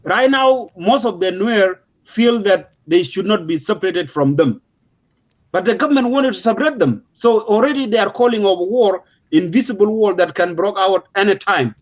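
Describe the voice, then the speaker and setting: A man's voice: high-pitched, lively intonation, normal speed. A man with a South Sudanese accent giving an unscripted, emphatic explanation in a political discussion, often repeating his words. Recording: dull, lo-fi audio.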